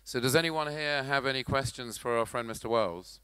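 40s guy hosting a conference panel, bright crisp tone, keeps things moving. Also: medium pitch, dry recording. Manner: brisk, laughs easily, steering the chat. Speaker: panel moderator and company chairman. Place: large conference hall stage. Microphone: handheld mic.

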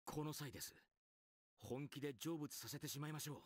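An actor playing a courteous, composed young monk in an anime game. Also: male voice, fairly high pitch, very fast, lightly acted. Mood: resolute. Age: young adult. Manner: courteous, formal. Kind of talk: Japanese anime game voice acting. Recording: loud game music over voice. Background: loud game music.

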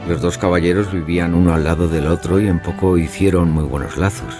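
A man's voice: deep voice, steady tone, fairly quick. An actor playing a self-assured, pompous male storyteller, narrating a mystery audio drama in theatrical style. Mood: grave, knowing. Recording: music behind the voice.

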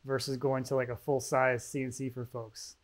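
A man hosting a woodworking show, asking questions and chatting casually in a quick, loose way full of "like"s, in a garage workshop. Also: medium pitch, level tone. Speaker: woodworking channel host. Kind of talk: casual interview chat.

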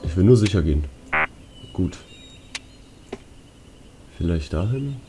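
A man's voice: low-pitched, expressive, slow pace, dark tone, slight background noise. A man giving casual gameplay commentary in speech, musing aloud as he works through puzzles. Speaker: let's player. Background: game music.

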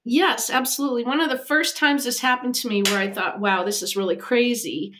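A woman in her fifties from the United States tells a vivid story in a fairly high voice, acting out what others said. She speaks over a video call from a home office. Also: fairly quick, background noise. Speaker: animal communicator, podcast guest. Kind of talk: storytelling in an interview. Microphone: video call, webcam.

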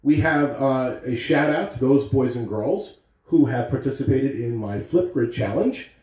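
A man's voice: medium pitch, measured pace, a little mellow. An American man in his thirties giving morning announcements and reading a storybook aloud to children. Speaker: school principal or staff announcer and story reader. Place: carpeted living room at home. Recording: roomy, distant phone camera recording.

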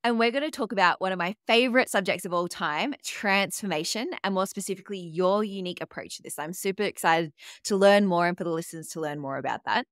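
A woman's voice: on the low side, fast, no echo, clean studio sound. A woman hosting a podcast interview, asking upbeat questions. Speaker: podcast host. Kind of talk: casual interview chat.

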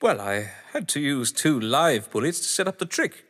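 A man's voice: medium pitch, big pitch swings, moderate pace, fairly bright tone. A man acting in an audio drama as a haughty, games-obsessed writer, eloquent, grandiose and sardonic. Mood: coolly defensive. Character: haughty, theatrical games-obsessed male writer, defensive under suspicion. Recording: long echoing hall reverb.

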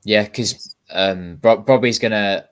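Chatty young man with a lower voice. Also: takes it slowly. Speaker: co-host reading viewer comments. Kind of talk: casual football chat on a video call. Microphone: video call.

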